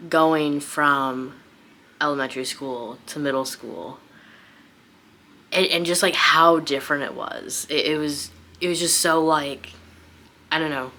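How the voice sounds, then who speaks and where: Young woman, book vlogger: low voice, informal and rambling, off-the-cuff chat. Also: steady tone, a little slow, pauses often, sharp tone. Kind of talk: casual unscripted chat.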